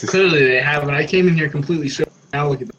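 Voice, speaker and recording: A man's voice: on the high side, rapid-fire, muffled recording, background noise. A man bantering casually and swearing freely as a player in a tabletop roleplaying game.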